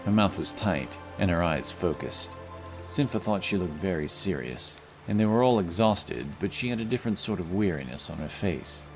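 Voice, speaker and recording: A man's voice: low voice, animated, moderate pace, poor audio. A man reading scripted fantasy story narration as the narrator of an audio drama. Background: music.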